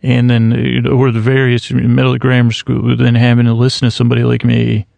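A man hosting a podcast, musing in a self-mocking, deadpan way and wandering off on long tangents. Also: mid-range voice, a little fast, vocal fry, dry recording. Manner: goes off on rambling tangents. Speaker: podcast host. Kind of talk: rambling solo podcast intro.

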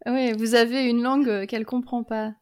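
A woman's voice: fairly high pitch, little pitch movement, normal speed. A woman hosting a podcast, asking questions casually and encouraging her guest with short replies. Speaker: podcast host.

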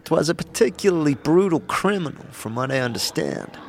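A man's voice: a little high, lively intonation, brisk, slight background noise, pitched above the performer's own voice. A man voicing a world-weary woman who leads soldiers of justice in a fantasy audio drama, wry, knowing and easygoing. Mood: grave, musing. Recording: music under the voice.

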